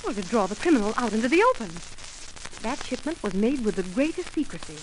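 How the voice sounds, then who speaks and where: An actress playing a composed, decisive woman investigator in an old-time radio drama, crisp, polite and commanding. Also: a little high, sing-song, fast talker. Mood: confiding, earnest. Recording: slightly noisy old radio broadcast.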